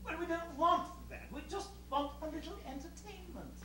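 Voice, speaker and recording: An actor playing an exasperated, uneasy male hotel boss, halting yet commanding, in a theatrical stage style. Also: extremely high pitch. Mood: uneasy, placating. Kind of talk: theatrical two-hander stage acting. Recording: distant roomy stage recording with hiss.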